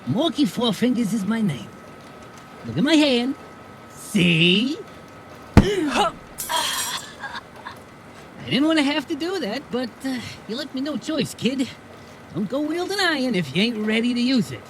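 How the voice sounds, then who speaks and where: An actor playing a wry, slangy goblin bartender in a noir audio drama, familiar and streetwise in manner. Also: male voice, extremely high pitch, sing-song, unhurried, long pauses, a little hiss or hum, heavily acted. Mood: scolding, then friendly. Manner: slangy, familiar, calling people kid. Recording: music playing behind the voice. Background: music.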